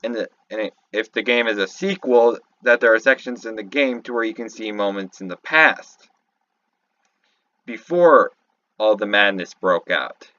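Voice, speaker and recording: A man giving casual, off-the-cuff game commentary, halting and thinking aloud as he goes. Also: on the low side, sharp tone, dull audio. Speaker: YouTube commentator.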